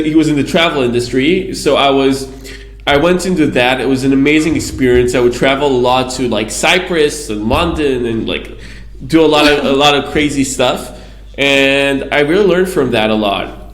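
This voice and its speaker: A man's voice: mid-range voice, fairly bright tone. Rambling man in an echoey room. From Israel. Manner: rambling, storytelling with many asides. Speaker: guest, PR agency founder. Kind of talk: casual interview answer.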